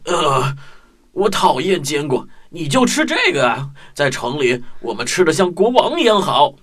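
A woman's voice: low voice, sing-song, measured pace, husky, full character voice. A woman voicing a fussy, boastful male city mouse in a children's storybook drama, haughty and given to complaint. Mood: disdainful, boastful.

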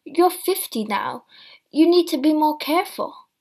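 A grown son gently coaxes his aging mother, calm and reasonable, read by a woman in a storybook. The voice stays fairly high and the pace slow. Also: vocal fry, heavily acted. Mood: coaxing.

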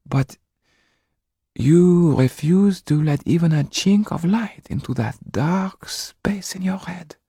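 A man voicing a stern but caring middle-aged woman guardian, firm and at times hushed, with a husky voice. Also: medium pitch, expressive, normal speed, no room sound, clean studio sound, pitched above the performer's own voice, close to an everyday voice. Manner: firm, then hushed and gentle.

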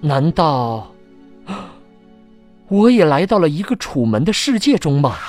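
A man's voice: on the high side, big pitch swings, unhurried, darkish tone, faint music behind. An actor playing a bewildered young man thinking to himself in an audio drama. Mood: bewildered, uneasy. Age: young adult. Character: 28-year-old man, a bewildered stranger in an odd world. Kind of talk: inner monologue in an audio drama.

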